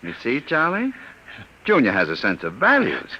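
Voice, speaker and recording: An actor playing a cold, sneering gang boss in a 1950s radio drama, menacing and mocking. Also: male voice, medium pitch, huge pitch swings, moderate pace, dull audio.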